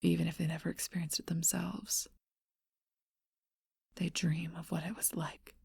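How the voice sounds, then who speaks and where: A woman voicing an ancient, lonely, seductive female vampire in a whispered ASMR role-play, speaking slowly and softly into a close mic. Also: on the low side, little pitch movement, gravelly, slightly rough, dry recording, full character voice. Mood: mournful whisper. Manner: soft intimate whisper, slow and measured.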